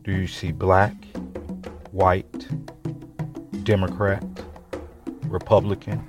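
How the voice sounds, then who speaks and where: A man delivers a spiritual meditation talk in a very deep voice. He speaks slowly and deliberately, with long pauses between phrases. Music with a wood block plays clearly behind him.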